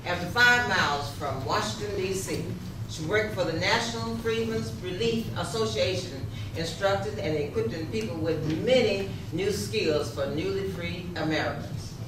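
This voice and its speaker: A woman reading historical narration for a readers theater, halting and stumbling over words now and then. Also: on the low side. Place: echoey room, live rehearsal. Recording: echoey, distant, noisy rehearsal room.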